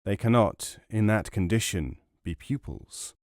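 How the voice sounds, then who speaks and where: A man reading an essay as a scripted audiobook narration, in a measured, formal way. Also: on the low side, expressive, a little mellow, no room sound, studio quality.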